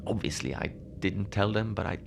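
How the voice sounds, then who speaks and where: Gravelly, low-voiced lone night-shift station manager, played by a man. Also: expressive, noisy background. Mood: wry storytelling. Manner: halting, rambling, understated, apologetic. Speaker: podcast fiction narrator, lone facility manager on radio. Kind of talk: cozy audio drama monologue, casual and confiding.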